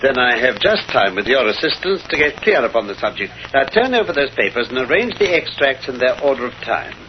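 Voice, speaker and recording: An actor playing an assured, cerebral detective in a 1930s radio drama, with precise, formal, theatrical diction. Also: male voice, medium pitch, very animated, brisk, lightly acted. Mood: brisk, musing. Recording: dull, noisy 1930s radio recording.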